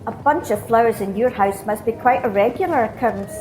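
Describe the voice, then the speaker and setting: A woman's voice: fairly high pitch, a little fast, noisy background, lightly acted. A woman playing a chatty, gossipy Scottish flower seller in a radio play. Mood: friendly, wistful. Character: chatty, admiring female flower seller, sniffly and wistful. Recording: street bustle behind voice.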